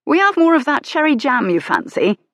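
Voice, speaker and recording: A woman's voice: high voice, very animated, fairly quick, no echo, voice pushed up. A woman voicing a friendly male shopkeeper in a period storybook, greeting a customer. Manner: cheerful, welcoming.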